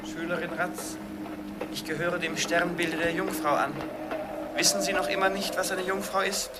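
A man doing a pompous, nasal-high schoolmaster. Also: little pitch movement, moderate pace, very noisy, close to an everyday voice. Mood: lecturing. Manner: pompous, lecturing. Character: pompous male schoolmaster, insufferable.

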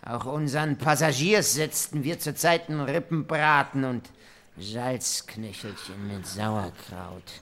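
A depraved robber captain in a radio play, making his confession in a middle-pitched voice. He takes his time over archaic phrases, savouring each grisly detail with methodical relish. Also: slow pace, fairly bright tone. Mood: savouring, grim.

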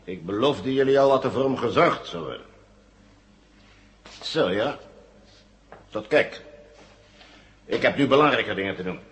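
An actor playing a smug, mock-courteous villain in a 1970s radio drama, husky and condescending. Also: male voice, medium pitch, expressive, unhurried, pauses often, a bit bright, slight background noise. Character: smug, coolly polite male villain holding captives.

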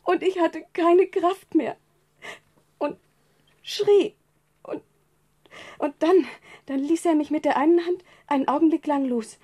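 Fragile, high-voiced young woman in shock. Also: dark tone. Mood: distraught, halting. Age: young adult. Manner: halting, breathless recounting, trailing into whispers. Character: traumatized young woman, fragile, tender, shaken. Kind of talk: radio crime drama acting.

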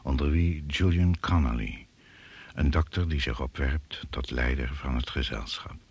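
Very deep, slow dramatic narrator. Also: male voice, a little mellow, slightly rough, heavily acted. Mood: grave, suspenseful. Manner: deliberate, dramatic. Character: grave male narrator of a jungle survival thriller. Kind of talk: radio drama narration, clipped telegram-like phrases.

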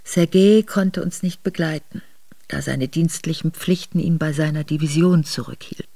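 An actress playing an aristocratic middle-aged Russian woman quietly recounting her memoirs to her son in a radio drama. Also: low-pitched, moderate pace, vocal fry, no echo. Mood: reminiscent. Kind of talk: intimate radio drama narration.

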